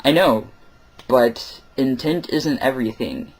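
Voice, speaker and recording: A man's voice: mid-range voice, takes it slowly. A young man talking candidly in a personal vlog, late at night at home, rambling with lots of fillers and wry asides. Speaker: vlogger.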